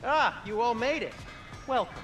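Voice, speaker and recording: A man's voice: on the high side, very animated, moderate pace, over music. A man playing a fussy, opinionated dwarf in a video game, speaking emphatically. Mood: welcoming.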